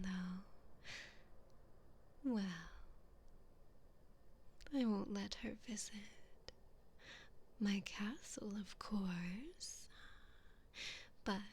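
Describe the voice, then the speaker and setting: Woman whispering as a slow, haughty evil queen. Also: medium pitch, full character voice. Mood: coolly menacing. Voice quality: breathy whisper. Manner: slow, drawn-out, condescending, seductive. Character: haughty, teasing evil queen toying with her prisoner. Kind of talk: whispered ASMR roleplay.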